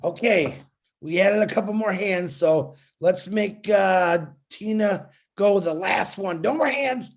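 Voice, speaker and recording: A man moderating a video call Q&A, running it briskly and joking with the callers. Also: sits high.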